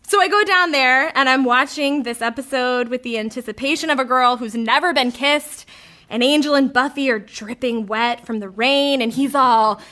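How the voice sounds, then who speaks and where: A woman's voice: high-pitched, normal speed, bright, forceful tone. A woman in her thirties reading a comic confessional story in a candid, wry way, acting out the lines she quotes.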